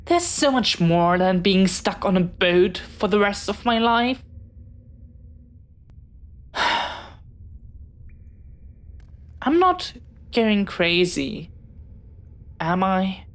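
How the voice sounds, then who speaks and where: A woman acting in a scripted audio roleplay as a bookish, dreamy young pirate, jumpy but earnest, speaking haltingly and dramatically straight to the listener. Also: fairly high pitch. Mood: wistful, frustrated. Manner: dramatic, halting, swinging from theatrical threats to sheepish chatter.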